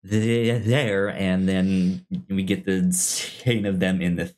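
A man co-hosting a podcast, chatting casually in a halting way, stammering and repeating words as he goes. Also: low-pitched, little pitch movement, noisy background. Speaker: podcast co-host discussing a film. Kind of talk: casual chat, film discussion. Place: recorded in person with co-host.

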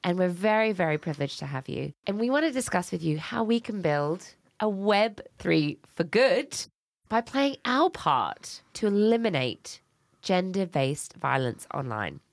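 A woman's voice: lowish voice, sing-song, measured pace, vocal fry, no echo, studio quality. A woman hosting a podcast, reading a scripted introduction and asking interview questions with enthusiasm. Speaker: podcast host.

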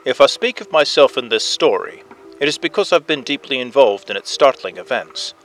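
A man playing an earnest head police inspector, narrating a storybook tale in formal, measured fashion, as if on an old phonograph. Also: medium pitch, lively intonation, fairly bright tone, pitched above the performer's own voice. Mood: earnest, solemn. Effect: old phonograph recording. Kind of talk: dramatic first-person storybook narration. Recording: narration over music, phonograph effect. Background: music.

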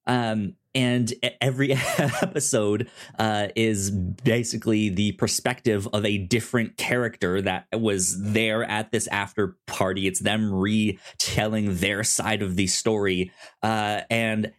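A man co-hosting a casual review podcast, talking haltingly and often repeating the starts of his words. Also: fairly low pitch, steady tone. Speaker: podcast co-host.

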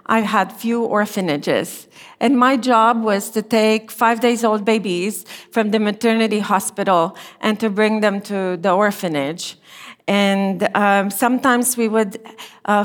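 A middle-aged woman from Romania with a Romanian accent, giving a gentle, unhurried chapel talk as a therapy lecturer. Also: medium pitch. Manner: gentle, unhurried, slightly non-native phrasing. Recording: long reverb in a chapel hall.

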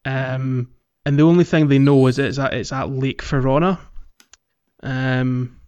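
A man co-hosting a podcast, chatting casually about a video game in a rambling, halting, jokey way. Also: mid-range voice, steady tone, darkish tone, smooth. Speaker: podcast co-host. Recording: dull lo-fi audio, roomy echo.

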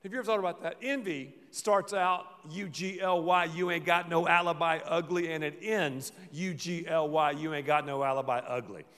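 A man preaching a sermon as pastor, playful and dramatic, often dropping to a low murmur. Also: normal speed, few pauses, a bit bright. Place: large church hall. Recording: reverberant church hall.

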